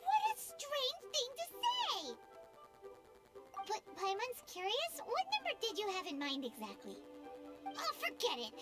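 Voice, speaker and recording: Squeaky, excitable tiny fairy girl. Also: a little slow, heavily acted. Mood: excited. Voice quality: squeaky, childlike. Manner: refers to herself in third person, exclamatory. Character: Paimon, tiny childlike female fairy companion, chatty, excitable, cheeky. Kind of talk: English video game voice acting. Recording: game music behind the voice.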